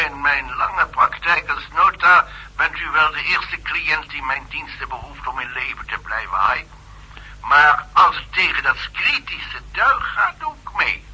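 An actor playing a principled, spirited notary, emphatic and wry, in 1960s radio drama style. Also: male voice, on the high side, animated, measured pace, pushed and bright, a little hiss or hum, exaggerated voice, poor audio. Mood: wry, willing. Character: principled, spirited male notary agreeing to a secret errand.

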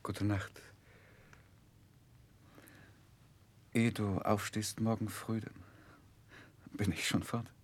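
An actor playing an earnest, reserved young gentleman who recounts his past in a 1960s German radio play, measured and grave. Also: lowish voice, flat delivery. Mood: gentle concern.

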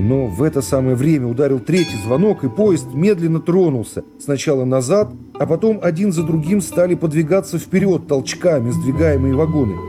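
A middle-aged man reading classic prose as an expressive dramatic narration for a radio serial. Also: a little high. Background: music.

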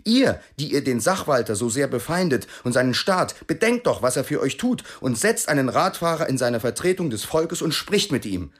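An actor playing an earnest, proud East German racing cyclist in a radio drama, emphatic and self-justifying. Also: male voice, mid-range voice, big pitch swings, normal speed, few pauses, breathy edge. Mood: earnest, defensive.

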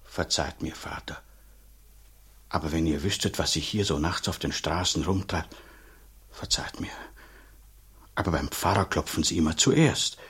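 An actor playing a middle-aged, gruff and suspicious village priest in a radio drama, husky, blunt and talkative. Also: male voice, sits low, unhurried, pauses often, a bit bright, in a whisper, heavily acted. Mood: apologetic, grumbling.

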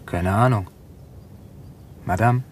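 A man's voice: fairly low pitch, slow pace, noisy background. An actor playing a dutiful police inspector in a 1970s radio drama, matter-of-fact toward his boss. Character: eager young police inspector, deferential.

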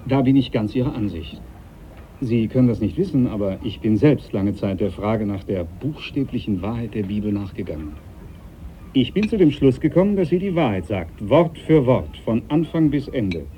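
A man's voice: medium pitch, lively intonation, moderate pace, lightly acted. An actor playing a proud, devout, domineering sea captain in a German radio play, speaking formally and needling those he addresses. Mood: probing, assured. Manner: formal, commanding, needling, cuts others off. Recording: dull, noisy 1980s radio recording.

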